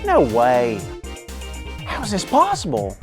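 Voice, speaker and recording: A woman's voice: very deep voice, wildly swooping pitch, takes it slowly, a little mellow, faint music behind, heavily acted. A woman voicing a goofy, overexcited male host of a children's Bible show, easily amazed.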